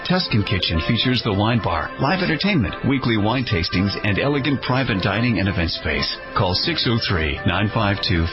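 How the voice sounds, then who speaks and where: Lowish animated male announcer, dull radio sound. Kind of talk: scripted radio ad narration. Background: background music.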